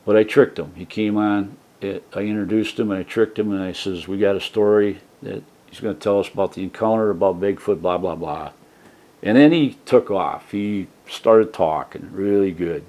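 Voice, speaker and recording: Soft-spoken low-voiced man rambling. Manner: soft-spoken, rambling with many fillers and restarts. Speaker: YouTube channel host. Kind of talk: casual rambling vlog talk. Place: trailer home. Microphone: desk mic set for a soft voice.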